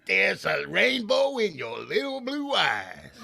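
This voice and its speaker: A sly, loyal valet drawls his comebacks in a very high, gravelly voice, as a man acts him out. The delivery bounces with lively pitch, and a loud hiss sits under the recording. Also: slow pace, heavily acted. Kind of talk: 1950s radio comedy acting.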